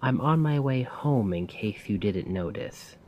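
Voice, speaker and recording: Man acting a slow, brooding, weary poet. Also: lowish voice, lively intonation, darkish tone, lo-fi sound, a little hiss or hum. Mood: irritated, weary. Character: weary, self-doubting failed male poet, gruff yet gentle. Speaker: roleplay audio performer. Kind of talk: soft-spoken ASMR roleplay monologue.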